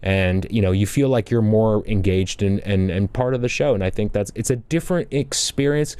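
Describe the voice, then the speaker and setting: Mellow low man explaining tech. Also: clean tone, no room sound. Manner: explanatory, measured, technical. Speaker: producer and co-host. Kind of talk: casual tech talk show chat.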